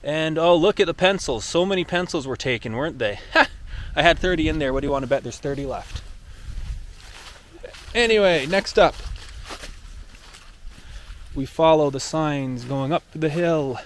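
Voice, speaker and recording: A man's voice: on the high side, lively intonation, normal speed, pauses often, fairly bright tone, slight background noise. A man giving a playful walk-through of a decorated outdoor trail at night, narrating in a theatrical storybook manner while holding the camera.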